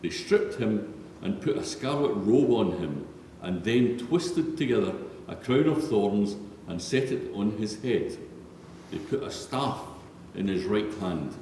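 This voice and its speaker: A man with a low, mellow voice reads scripture solemnly as a narrator. He is heard from far off in a big church, with long, heavy reverb around every word. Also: lively intonation, unhurried. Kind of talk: solemn scripted Bible reading. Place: large reverberant church, read aloud. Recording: echoey church hall, distant, faint noise.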